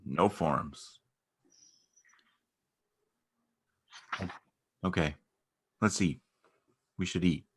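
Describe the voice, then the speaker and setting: A man in a dramatic reading, playing a gentle, awkward, anxiously supportive man who speaks hesitantly and with understatement. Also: deep voice, unhurried, slightly rough. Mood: anxious, placating. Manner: hesitant, repeats himself, understated. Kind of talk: dramatic reading of a stage play dialogue.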